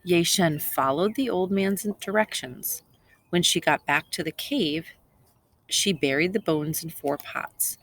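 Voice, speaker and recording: A woman's voice: lowish voice, a little slow, fairly bright tone, no echo. A woman reading aloud to young children as a teacher, explaining simply and repeating key points. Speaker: second grade teacher.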